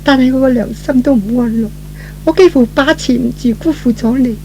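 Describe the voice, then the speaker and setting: A man playing a tender, self-reproaching young woman in love, soft and hesitant, in an old radio melodrama. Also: very high-pitched, brisk, slight background noise, pitched above the performer's own voice, poor audio. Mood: anxious, guilty.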